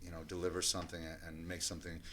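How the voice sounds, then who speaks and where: A man who is a guest musician and producer, talking in halting sentences with fillers and restarts. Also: deep voice, monotone, a bit bright. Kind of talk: casual interview chat.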